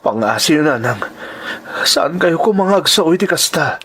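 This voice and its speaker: Man playing higher-voiced, emotional Ilocano husband. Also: expressive, unhurried, fairly bright tone, breathy edge, heavily acted. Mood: determined. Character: distressed, stubborn husband, quick to lose his temper. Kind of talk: Ilocano radio-style melodrama acting.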